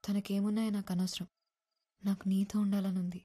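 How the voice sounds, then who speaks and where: A woman's voice: mid-range voice, flat delivery, a little fast, warm tone, breathy edge, close to an everyday voice. An actress playing a lovestruck, playful young village woman in a film, with a frank, chatty manner. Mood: playful, smitten. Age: young adult. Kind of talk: naturalistic film acting. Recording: music bed under film dialogue.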